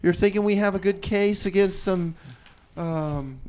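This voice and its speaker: A man with a smooth, clear, slightly high voice hosts a community radio show. He talks in a folksy, rambling way, chatting casually and introducing music. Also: unhurried, a little mellow. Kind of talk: casual radio banter and song back-announcing. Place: radio studio.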